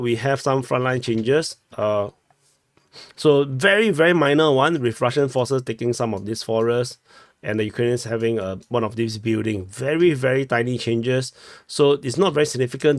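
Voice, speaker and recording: A young Singaporean man with a Singaporean English accent, talking through war maps in a loose, chatty way. He corrects himself mid-sentence and keeps asking "no?". His voice sits at a middling pitch and sounds close and dry, recorded on a desk webcam in a small room. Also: no room sound. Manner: chatty, self-correcting, ends with "no?". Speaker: war map analyst. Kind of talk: casual map commentary.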